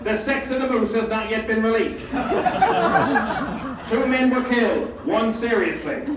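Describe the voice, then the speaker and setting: A man's voice: high-pitched, a little slow. A man voicing an earnest small-town radio newsman in a solemn mock news bulletin, recorded from afar on a poor microphone. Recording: distant echoey room recording, noisy poor mic.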